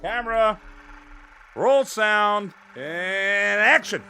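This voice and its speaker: A brisk weary TV director. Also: male voice, high-pitched, lively intonation, creaky, bright, forceful tone, slight background noise, exaggerated voice. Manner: brisk, ordering the crew.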